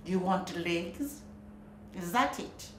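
A woman voicing an evil old female sea witch who croaks and rasps her slow, menacing lines. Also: on the low side, animated, slight background noise, voice pushed down. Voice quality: raspy, croaking. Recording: roomy echo.

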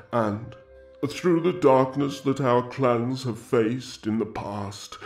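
A man playing a stately, solemn elf king, grandly and deliberately proclaiming in a roleplay audio drama. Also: lowish voice, a little mellow, echoey room. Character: stately, solemn elf king addressing his gathered clans.

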